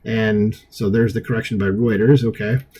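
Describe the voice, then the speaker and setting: A man with a husky voice reading an article aloud as an online commentary host, dropping in opinionated, dismissive asides. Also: on the low side, level tone, normal speed, warm tone.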